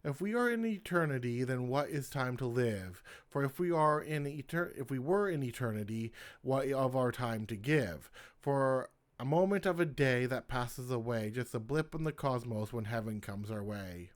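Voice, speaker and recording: A man's voice: medium pitch, expressive, normal speed, hardly any pauses. A man hosting his own poetry channel, reading his poems aloud in a casual way and starting lines over when he stumbles.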